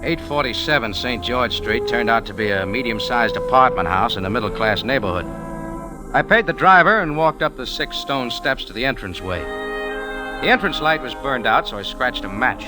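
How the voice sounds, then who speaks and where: A man's voice: mid-range voice, lively intonation, moderate pace, close to an everyday voice, low-quality recording. A man acting a tough, wry amateur sleuth in an old-time radio detective drama, both narrating and in dialogue. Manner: hardboiled, wry. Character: tough, wry male cafe owner turned amateur sleuth. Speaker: narrator and lead actor. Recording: vintage radio recording with music.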